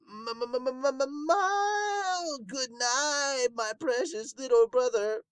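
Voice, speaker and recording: Man putting on a fervent, high-pitched warrior beast. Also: takes it slowly, fairly bright tone, smooth, no room sound, heavily acted. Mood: tender, emotional. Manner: formal, dramatic, fervent. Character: male warrior beast, earnest, devoted, booming big brother.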